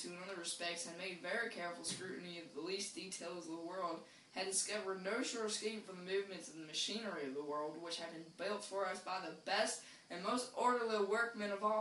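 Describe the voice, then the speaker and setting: A young woman reads a formal, old scientific text aloud. Her voice sits at an average pitch. It sounds distant and roomy, with faint hiss behind it. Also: fairly bright tone. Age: young adult. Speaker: reader and organizer of an informal group reading. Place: small bare room indoors. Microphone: camera mic from a few metres. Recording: echoey room, distant mic, faint hiss.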